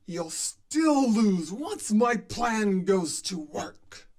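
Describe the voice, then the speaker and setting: High shouty gloating mad scientist game villain, swoops all over, really drags his words out. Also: very animated, full character voice. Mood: furious defiance. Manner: drawn-out, shouted declarations. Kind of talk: fan game cutscene voice acting. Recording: echoey, under loud game music.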